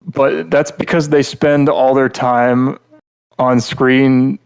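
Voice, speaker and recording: A male podcast co-host giving casual, opinionated critique. His voice sits at an average pitch, and he talks bluntly in a rambling, filler-heavy flow, circling back to restate his points. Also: flat delivery, slow pace, creaky, clean tone, low-quality recording.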